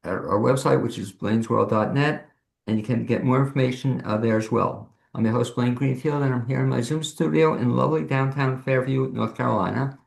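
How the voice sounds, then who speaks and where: A man's voice: medium pitch, brisk, creaky. A man hosting a conversational interview show over a video call from an echoey room. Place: home office studio, on a video call.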